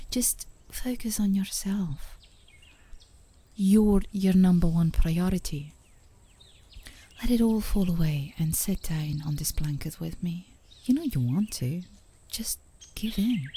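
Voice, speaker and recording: A woman playing an affectionate, teasing girlfriend in an intimate ASMR roleplay, speaking softly and soothingly, often in a whisper, close to the microphone. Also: medium pitch. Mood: gently insistent. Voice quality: soft, breathy, often whispering. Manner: soothing, playfully coaxing, with small chuckles. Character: gently coaxing, teasing, affectionate girlfriend on a park date.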